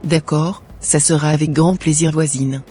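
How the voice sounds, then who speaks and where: Man playing a husky, trusting cartoon mother. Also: a little high, steady tone, moderate pace, mellow. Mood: polite, friendly. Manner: polite, scripted phrasing. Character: kind, trusting, pious mother, a proud neighbour. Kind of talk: animated moral drama dialogue. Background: faint music.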